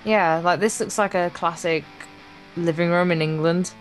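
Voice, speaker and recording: A woman's voice: on the low side, steady tone, normal speed, soft background music, rough recording. A young woman co-hosting a let's play, reading visual novel text aloud with playful, casual banter.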